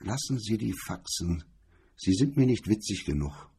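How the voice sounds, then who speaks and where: A man voicing a sullen, detached gangster with a dull, husky delivery in a crime audiobook. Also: on the low side, expressive, normal speed, dark tone, exaggerated voice. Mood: tight, sullen. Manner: dull, absent.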